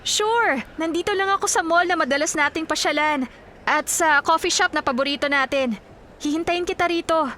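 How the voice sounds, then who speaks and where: High-voiced, caring Filipino woman. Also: normal speed, slight background noise. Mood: cheerful. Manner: earnest, solicitous. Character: caring, devoted, successful career woman still in love. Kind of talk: Filipino radio drama acting. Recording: loud music behind the voice.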